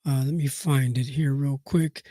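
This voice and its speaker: A man from Nebraska hosting a boxing news live stream, hoarse from a cold, reading aloud haltingly with many fillers and restarts. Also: medium pitch, fairly even intonation, warm tone, dry recording, studio quality.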